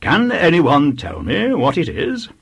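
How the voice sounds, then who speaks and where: A man voicing a jovial, solicitous vicar in a storybook reading, speaking deliberately and exclaiming with kindly exasperation. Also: fairly high pitch, wildly swooping pitch, vocal fry, breathy edge, pitched above the performer's own voice, heavily acted.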